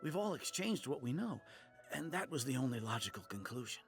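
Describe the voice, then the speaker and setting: An actor playing a weary, sincere village merchant in a video game, hesitant and earnest, with a gravelly voice. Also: male voice, medium pitch, very animated, fairly quick. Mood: flustered, apologetic. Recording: music under the voice. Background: game music.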